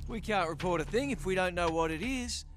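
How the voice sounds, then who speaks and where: An actor playing a cheeky, defiant young Australian private in a war game, with an irreverent manner. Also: male voice, high-pitched, expressive, fairly quick, faint music behind. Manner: laid-back, irreverent.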